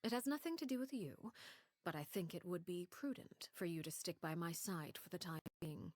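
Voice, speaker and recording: A woman voicing a cool, composed noblewoman leader in a game cutscene, measured and formally polite. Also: lowish voice, big-room sound, close to an everyday voice. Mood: poised.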